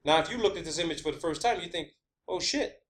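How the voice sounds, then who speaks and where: A man's voice: on the high side, rapid-fire, a bit bright. A man delivering an informal, rambling science-theory monologue, emphatic and sweary, often repeating his phrases. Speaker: online video host.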